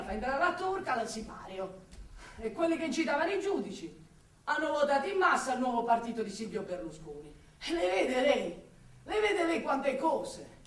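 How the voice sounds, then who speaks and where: An actress playing an impassioned woman torn between love and exasperation for her city, in a declamatory radio monologue with drawn-out, repeated words. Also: on the high side. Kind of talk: dramatic theatrical radio monologue. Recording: roomy echo, distant from the mic.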